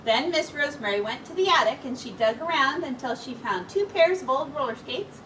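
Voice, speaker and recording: A woman teacher reading a picture book aloud to young children in an expressive, animated storytelling manner with dramatic pauses. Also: high-pitched. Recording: distant mic, faint background noise.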